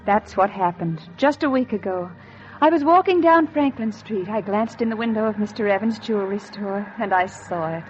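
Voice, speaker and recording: An actress playing a wistful, embittered housewife in 1940s radio style, intense and melodramatic, repeating phrases for emphasis. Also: female voice, mid-range voice, moderate pace, natural voice. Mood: rapt. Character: wistful, embittered housewife yearning for one luxury. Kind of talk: 1940s radio acting, dramatic monologue and dialogue. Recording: muffled 1940s radio recording with music.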